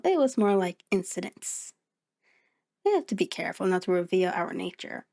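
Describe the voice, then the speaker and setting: A woman's voice: medium pitch, darkish tone. Chatty, sheepish mirror reflection voiced by a woman. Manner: conversational, hesitant, explanatory, with musing asides. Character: sentient female mirror reflection, sheepish, chatty, patient explainer. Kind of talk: ASMR audio roleplay, speaking directly to listener.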